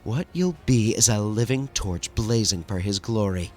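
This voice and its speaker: A man's voice: lowish voice, animated, unhurried, a little mellow, soft background music, pitched above the performer's own voice. A man voicing a zealous warrior nun in a game, fierce and full of hatred. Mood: hateful. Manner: fierce, trembling with impatience.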